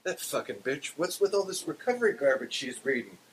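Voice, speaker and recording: A man's voice: on the high side, sing-song, a little fast, pitched above the performer's own voice, poor audio. A man voicing a resentful, foul-mouthed dog with an Irish brogue, grumbling in a story reading. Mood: bitter, cursing. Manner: grumbling, cursing. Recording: clear background hiss.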